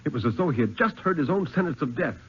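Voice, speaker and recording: A man reading a thriller story aloud in a 1950s dramatic radio style, with suspenseful, measured phrasing and deliberate pauses. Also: on the high side, animated, a bit bright, husky. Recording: muffled, lo-fi 1950s radio recording.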